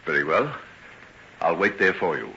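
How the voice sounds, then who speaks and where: An actor in an old-time radio drama playing a soothing, apologetic gentleman doctor with a polite, formal, hesitant manner. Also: deep voice, sing-song, moderate pace, heavily acted. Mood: courteous. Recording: dull old radio recording, faint noise.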